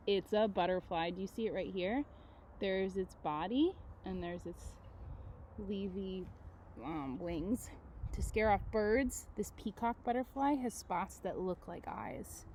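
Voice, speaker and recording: A woman teacher reading aloud to children, with expressive delivery and casual, chatty asides that put questions to her listeners. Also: mid-range voice, a little hiss or hum. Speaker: elementary school teacher.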